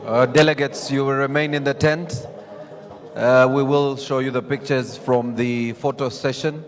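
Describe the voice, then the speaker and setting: A man's voice: mid-range voice, fairly even intonation, unhurried. Male event announcer in long reverb. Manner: polite, formal, instructing. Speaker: master of ceremonies at a summit. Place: large event tent, over loudspeakers. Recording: reverberant PA sound, faint music behind.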